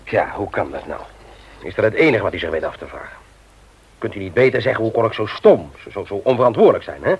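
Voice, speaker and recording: A man's voice: on the low side, very animated, fast, slightly rough, exaggerated voice. An actor playing a stern, moralizing man who lectures emphatically in a radio play. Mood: probing, reproving. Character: stern, moralizing man confronting a petty thief. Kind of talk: Dutch radio play acting. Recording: muffled vintage radio recording.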